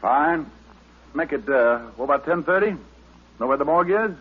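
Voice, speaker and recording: An actor playing a wisecracking, easygoing private detective in a vintage radio drama, with a glib, casual manner. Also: male voice, a little high, expressive, moderate pace, husky. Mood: casual, friendly. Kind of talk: 1940s-style radio detective acting. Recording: narrow, noisy old radio recording.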